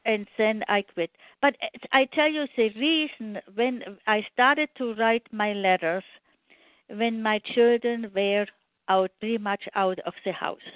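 An older woman with a German accent talks as a radio guest, pausing and restarting often. Her voice sits a little high. It comes through a dry, tinny phone line. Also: dry recording. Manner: storytelling with many fillers and restarts. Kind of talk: interview conversation.

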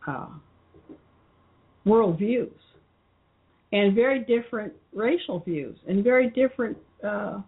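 Husky woman talking over a phone line. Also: mid-range voice. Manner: halting, many fillers and restarts. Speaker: show host. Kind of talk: unscripted commentary monologue. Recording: muffled phone-line audio.

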